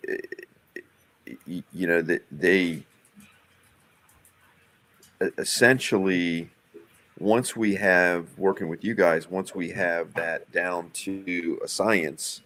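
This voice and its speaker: A man talking casually in a business meeting about plans, hesitant and halting, often repeating the starts of words. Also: low-pitched, fairly bright tone. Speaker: live event producer planning a show.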